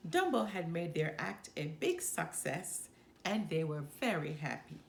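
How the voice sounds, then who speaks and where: A woman reading a children's story aloud as a storyteller, with expressive, deliberate delivery. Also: sits low, vocal fry, slight background noise. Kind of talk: expressive read-aloud narration.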